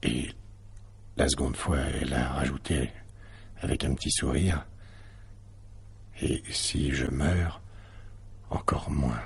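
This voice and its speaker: An actor playing a defensive, evasive middle-aged husband in a French radio mystery drama, hesitant and self-justifying. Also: male voice, a bass voice, slow pace, creaky, long pauses, heavily acted. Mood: troubled, confiding. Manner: hesitant, self-justifying, emphatic when challenged. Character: evasive, defensive husband suspected in his wife's disappearance.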